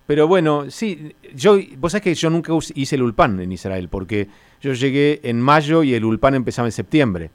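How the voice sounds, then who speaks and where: A man's voice: medium pitch, lively intonation, normal speed, no echo. A man with a Rioplatense Spanish accent chatting casually, joking and rambling as he explains things. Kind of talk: casual comic banter.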